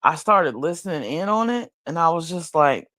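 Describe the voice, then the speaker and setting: A woman hosting a late-night livestream, talking bluntly and profanely, musing slowly with many restarts while high. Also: expressive, fairly bright tone, no room sound, studio quality. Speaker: livestream host. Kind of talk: late-night casual commentary. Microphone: streaming mic.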